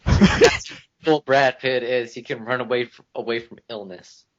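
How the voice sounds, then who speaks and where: A man's voice: medium pitch, a little slow. A man making casual remarks about films as a member of a podcast. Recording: dull lo-fi podcast audio.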